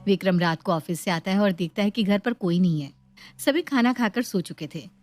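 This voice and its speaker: A woman's voice: mid-range voice, moderate pace, a little mellow, faint music behind. A woman reading scripted narration as the storyteller of a domestic tale.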